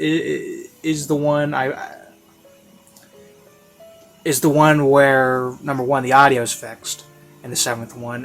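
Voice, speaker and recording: A man giving a casual, rambling spoken review in a hesitant, halting way, as if thinking aloud. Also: medium pitch, a bit bright. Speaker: reviewer of audio series. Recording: classical music playing behind. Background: classical music.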